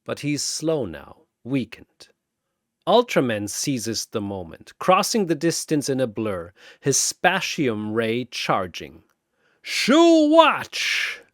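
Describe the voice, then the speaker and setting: Male narrator for scripted action story: theatrical, unhurried, highly expressive intonation, close dry sound, punchy phrasing. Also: dry recording. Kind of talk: dramatic scripted battle narration.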